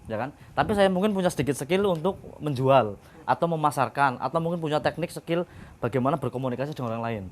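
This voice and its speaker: A man's voice: on the high side, quick pace. A man answering interview questions in a chatty way, politely addressing the interviewer.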